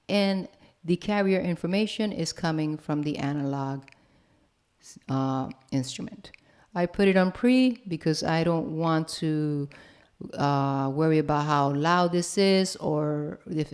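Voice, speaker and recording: A woman giving a software tutorial as an instructor, casual and step by step, often checking in with the listener. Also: on the low side. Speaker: host and music production instructor. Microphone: broadcast microphone.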